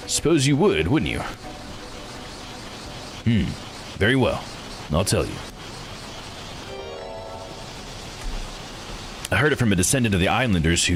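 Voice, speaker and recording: A man's voice: fairly low pitch, big pitch swings, moderate pace, pauses often. A man voicing a sly, courteous, inquisitive researcher in a visual novel, with a mannered, teasing delivery. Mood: coy, pleased. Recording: music behind the voice.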